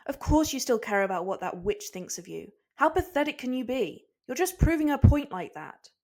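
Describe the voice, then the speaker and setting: Gruff young male swordsman, played by a woman: blunt, stubborn, grumbling, quick to scorn. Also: fairly high pitch, very animated, darkish tone, pitched above the performer's own voice. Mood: irritated.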